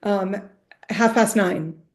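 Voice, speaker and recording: A woman's voice: medium pitch, very slow. A middle-aged woman rabbi leading a group discussion, thinking aloud and searching for her words as she teaches. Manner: thoughtful, searching for words, many restarts. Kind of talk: conversational teaching on a video call.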